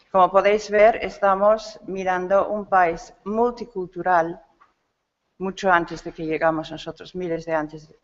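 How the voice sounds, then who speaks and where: A symposium speaker giving an academic lecture, halting often and restarting phrases while searching for words. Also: medium pitch. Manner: halting, many restarts and self-corrections; searches for words in a rusty second-nature language. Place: conference hall. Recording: dull lo-fi lecture recording.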